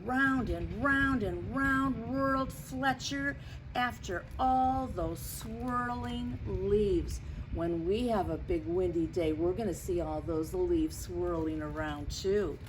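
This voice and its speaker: A woman reads a children's picture book at an unhurried, slow pace. She is expressive and explains things directly to young listeners. The recording has clear background noise. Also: medium pitch. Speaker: storytime reader. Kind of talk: children's picture-book read-aloud with asides.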